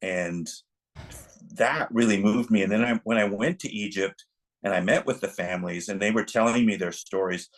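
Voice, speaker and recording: A man speaking as a film director being interviewed, reflective and halting, often restarting his thoughts as he goes. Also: on the low side, low-quality recording.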